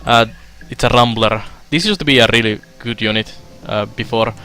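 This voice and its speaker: A young man from Finland giving casual let's play commentary, halting with fillers as he reads game text aloud. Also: mid-range voice, bright, forceful tone, clean tone. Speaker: streamer.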